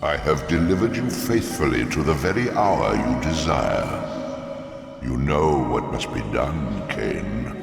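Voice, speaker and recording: A man's voice: very deep voice, animated, gravelly, heavily acted. A man voicing an ominous, manipulative ancient oracle in a fantasy game, with portentous, theatrical delivery. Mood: grave, commanding. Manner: portentous, theatrical, slow solemn prophecy. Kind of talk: scripted fantasy game acting. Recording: cavernous long reverb, music behind.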